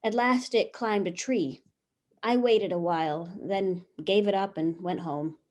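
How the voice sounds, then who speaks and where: A woman's voice: mid-range voice, measured pace, darkish tone, lightly acted. A woman playing Eve, a newly made, curious and talkative first woman, reading her diary in a wondering, chatty way over a video call. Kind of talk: stage reading of a comic play.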